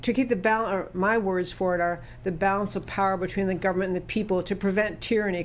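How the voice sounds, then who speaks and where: A woman's voice: medium pitch, slight background noise. Chatty curious woman host. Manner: chatty, curious, asks follow-up questions. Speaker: talk show host. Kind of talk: conversational interview.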